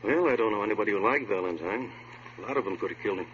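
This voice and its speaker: An actor playing a casual police detective talking shop in a radio drama. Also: male voice, on the low side, animated, rapid-fire, a bit bright. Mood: brisk, speculative. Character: casual, quick-talking male police detective offering case theories. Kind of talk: 1950s radio drama acting. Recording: dull old radio recording, faint hum.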